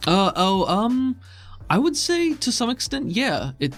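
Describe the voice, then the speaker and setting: A man acting as game master, narrating and role-playing casually with an easygoing, halting delivery. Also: very high-pitched, very animated, fairly bright tone, soft background music.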